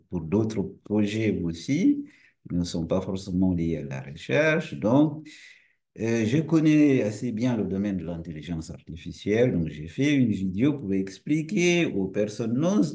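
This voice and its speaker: A male university lecturer and researcher whose first language is Portuguese, explaining a topic to camera in a relaxed, friendly way, asking rhetorical questions and restarting phrases often. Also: fairly low pitch.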